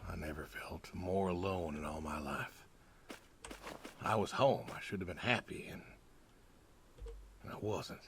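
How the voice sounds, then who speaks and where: An actor playing a weary, wistful older American pig farmer and war veteran in a video game, talking in a slow, halting, reflective way. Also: male voice, low-pitched, slight background noise. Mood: wistful, lonely. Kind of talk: understated naturalistic game acting.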